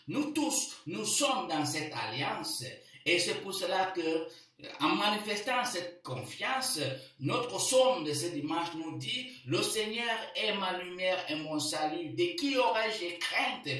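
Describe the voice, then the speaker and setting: Priest, male, slow deliberate homily, bright voice, heavy church echo, distant mic. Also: high voice. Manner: slow, repeating key phrases for emphasis. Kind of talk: sermon.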